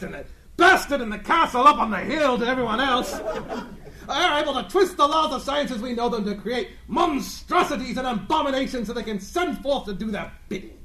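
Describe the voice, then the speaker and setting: A man playing a pompous, self-adoring gentleman adventurer, declaiming floridly in a melodramatic comedy radio play. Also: extremely high pitch, heavily acted.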